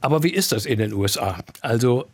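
A man's voice: medium pitch, animated, fast talker, no room sound. A man with a mid-range voice conducting a cultural interview. He builds his questions carefully and at length before he asks them. The recording is close and dry. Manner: articulate, lengthy framing of questions.

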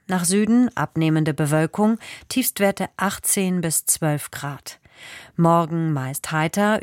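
A woman's voice: fairly low pitch, no echo. Formal woman news reader, dry studio sound. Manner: formal, measured delivery. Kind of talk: scripted radio news bulletin and weather.

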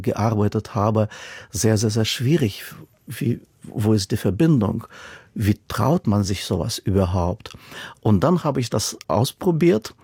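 A husky-voiced man who speaks German as a second language, answering interview questions about his writing. He talks thoughtfully and stacks up repeated words for emphasis. The recording is close and dry. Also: fairly low pitch, mellow, no room sound. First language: not German. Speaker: author and interviewee. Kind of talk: radio interview.

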